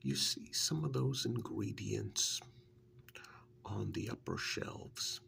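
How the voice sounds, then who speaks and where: A man voicing a sly, sarcastic female witch in an ASMR role-play, soft, breathy and coaxing. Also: fairly low pitch, takes it slowly, a little hiss or hum, heavily acted. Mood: coaxing, matter-of-fact.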